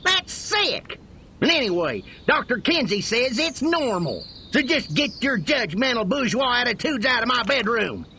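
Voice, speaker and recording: An actor playing a cranky, indignant old farmer in a comic video game, with a rural American accent. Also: male voice, very high-pitched, sing-song, unhurried, sharp tone, a little hiss or hum, exaggerated voice. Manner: indignant, folksy, blustering.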